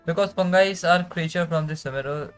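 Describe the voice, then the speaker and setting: A man's voice: on the high side, fairly quick, a bit bright. A man with an Indian accent, a native Hindi speaker, reading game dialogue and commenting casually on a live stream. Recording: game music behind the voice.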